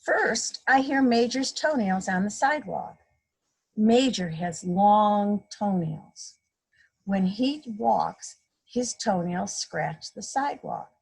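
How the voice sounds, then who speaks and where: Slow, husky woman reading to children. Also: mid-range voice, expressive, darkish tone, low-quality recording. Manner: slow, deliberate, explains simply for young children; playfully acts out sound effects. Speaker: guest storybook reader, a mother. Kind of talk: read-aloud children's story time, simple teacherly explaining.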